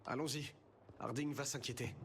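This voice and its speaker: An actor playing a bold, earnest young adventurer in a video game. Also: male voice, medium pitch, fairly even intonation, normal speed, fairly bright tone, a little hiss or hum, lightly acted. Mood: calm.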